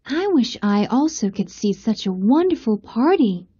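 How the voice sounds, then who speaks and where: Kind, sorrowful young fairy-tale heroine, played by a woman, lilting and unhurried, dull recording. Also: on the high side, sing-song, mellow, breathy edge, no room sound, exaggerated voice. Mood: wistful. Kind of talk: storybook character acting.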